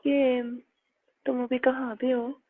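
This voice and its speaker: High, flat-toned woman on a tinny phone line. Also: slow, no echo. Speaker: phone caller. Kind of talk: casual phone conversation.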